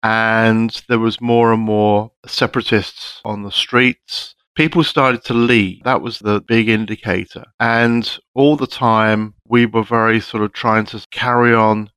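Man, low voice, measured storytelling, dry close sound, former monitor. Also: fairly even intonation, fairly bright tone, clean tone, no room sound. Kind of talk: recounting experiences in conversation. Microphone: call microphone.

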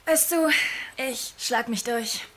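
A woman's voice: a little high, slow pace, a little hiss or hum. A woman playing a plucky, teasing young student in a German zombie audio drama. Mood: friendly, teasing. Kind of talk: German audio drama acting of a video game.

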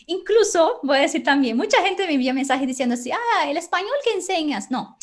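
A woman's voice: sits high, animated, a little fast. A woman who teaches Spanish, talking animatedly as a guest on a casual podcast and laughing between phrases.

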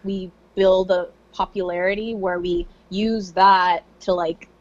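A woman chats on a podcast, groping for words in halting phrases. She speaks very slowly. The audio is dull and muffled. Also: on the low side, fairly even intonation. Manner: hesitant, halting. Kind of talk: casual podcast chat.

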